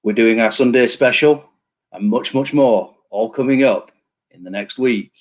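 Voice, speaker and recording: Host, man, chatty, hypes the guest, mid pitch, lots of ums. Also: normal speed. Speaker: show host. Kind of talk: casual online interview show.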